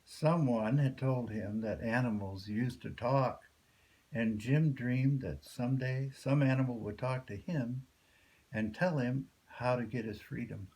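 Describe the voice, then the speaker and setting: A man's voice: medium pitch, measured pace, darkish tone, low-quality recording. An older man reading a folktale aloud as a storyteller.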